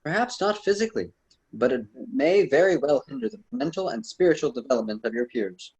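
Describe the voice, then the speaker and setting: A man's voice: medium pitch, lively intonation, fairly quick, darkish tone, breathy edge, rough recording. A man playing a wise, wordy poet mentor with a formal, didactic manner in an amateur student radio drama. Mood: firm advising.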